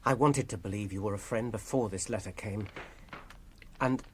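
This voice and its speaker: A man playing an earnest, anxious hobbit in a radio drama, speaking hesitantly with a husky voice. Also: fairly low pitch, moderate pace, slight background noise, lightly acted. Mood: earnest, flustered. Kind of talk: classic radio drama acting.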